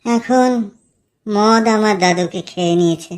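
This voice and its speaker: A mischievous young village lad, as a woman voices him, speaks in a lowered, put-on voice with a crude, teasing edge. He drops to a hushed, eerie tone for spooky tales. It sounds like a cartoon comedy. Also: measured pace, background noise, close to an everyday voice. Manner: crude, teasing, eerie when telling ghost talk. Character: cheeky young village man, mischievous drinker.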